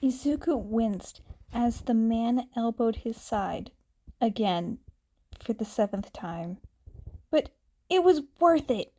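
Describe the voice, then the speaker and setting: Soft-spoken woman reading a story. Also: on the high side, animated, slow pace. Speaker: fanfiction narrator. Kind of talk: amateur audiobook narration.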